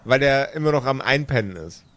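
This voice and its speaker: A man's voice: medium pitch, lively intonation, fairly quick, fairly bright tone. A man acting as game master, improvising narration and bits of character talk.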